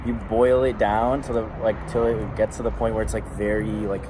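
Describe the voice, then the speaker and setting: A young man talking casually as a guest, relaxed and rambling with many fillers. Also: on the low side, fairly quick. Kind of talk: casual chat. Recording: noisy street with passing traffic.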